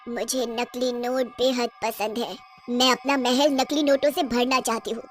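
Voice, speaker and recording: A woman voicing a vengeful, gloating witch in a cartoon horror story, taunting her captives with drawn-out threats. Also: fairly high pitch, steady tone, faint music behind, lightly acted. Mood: menacing, boastful. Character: vengeful, gloating female witch who runs a factory.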